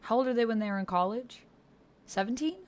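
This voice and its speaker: A woman's voice: on the high side, lively intonation, fairly quick. A woman livestreaming in a casual, slangy way, reacting expressively and thinking aloud as she goes. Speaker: YouTuber livestreaming a text roleplay game. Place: indoors at a computer.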